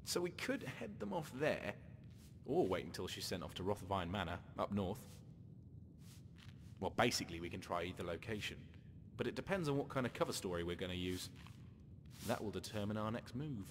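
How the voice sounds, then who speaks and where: Game rogue: low, animated, wry scheming slaver-hunter in a reverberant space. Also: male voice, brisk, a little hiss or hum. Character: sly male slaver-hunter rescuing his lover. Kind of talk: game character dialogue.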